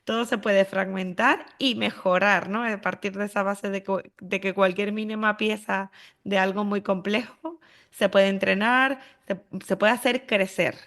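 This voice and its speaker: A woman podcast host from Spain with a creaky, gravelly edge to a mid-pitched voice. She speaks casually and reflectively, with a Castilian Spanish accent, often checking that her guest agrees. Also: fairly even intonation, unhurried, fairly bright tone. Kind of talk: casual conversational interview.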